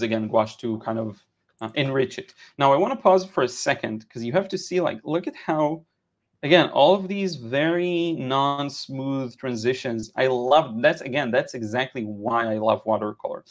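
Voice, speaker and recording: A man in his thirties from Israel talks through a watercolor painting on a live stream. His delivery is loose and conversational, full of "uh"s and restarts as he answers chat and explains colors. He is recorded indoors in a home studio on a headset mic. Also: mid-range voice. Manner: relaxed, chatty, frequent "uh" and repeated words. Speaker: watercolor art teacher.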